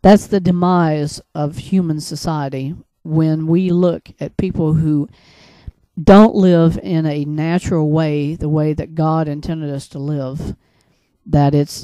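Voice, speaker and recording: An American man delivering a religious and political commentary monologue in an earnest, admonishing, halting way, often repeating his phrases. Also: fairly high pitch, mellow, no room sound. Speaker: broadcaster.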